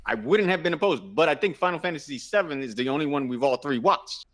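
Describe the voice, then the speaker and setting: A man's voice: on the high side, animated, fast, fairly bright tone. A man co-hosting a casual chat, giving his opinions emphatically and repeating himself for stress. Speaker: podcast co-host.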